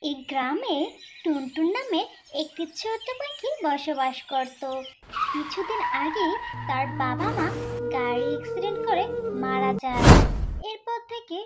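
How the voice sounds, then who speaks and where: A woman's voice: high-pitched, sing-song, measured pace. A woman telling a scripted children's story as the narrator. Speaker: storyteller and channel host. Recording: music playing underneath.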